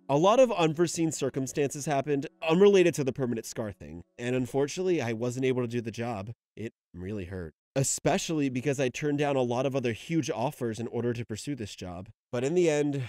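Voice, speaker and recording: A young man giving casual video-essay commentary, animated and sometimes ranting, sometimes sentimental. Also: medium pitch, no room sound. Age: young adult.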